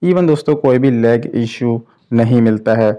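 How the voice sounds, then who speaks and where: A man's voice: medium pitch, measured pace, smooth, dull audio. A man giving casual, explanatory commentary as a tech reviewer, addressing viewers like friends. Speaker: tech reviewer, channel host. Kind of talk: casual phone gaming test commentary.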